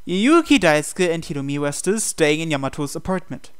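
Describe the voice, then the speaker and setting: Clear, higher-voiced man reading narration. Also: sing-song, brisk, fairly bright tone. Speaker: playthrough host reading game text. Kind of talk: scripted narration read aloud.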